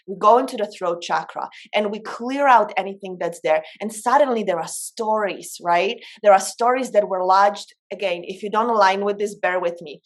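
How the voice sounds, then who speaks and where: Woman, medium pitch, emphatic manner, informal coaching talk. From Poland. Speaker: coach and speaker. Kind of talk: informal motivational talk on spiritual self-development.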